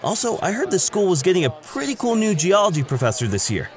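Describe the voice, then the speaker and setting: A man acts as an eager, awkward young student in an audio drama. His voice sits fairly high and moves around a lot. He talks casually and chattily over faint background noise. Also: wildly swooping pitch, fast talker. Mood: chatty, eager. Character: excitable, awkward male student, lovelorn new kid.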